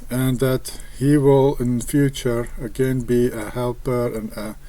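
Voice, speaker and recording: A man with an Afrikaans accent, a native Afrikaans speaker, reading scripture aloud and explaining it in a hesitant, halting way with frequent pauses. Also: mid-range voice, little pitch movement, mellow. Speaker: online Bible commentator.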